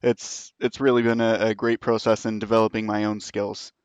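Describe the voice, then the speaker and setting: A man chatting casually as a livestream co-host in a measured, thoughtful manner. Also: lowish voice, little pitch movement, clean tone, no echo. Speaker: podcast writer and co-host. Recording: dull, slightly muffled, dry audio.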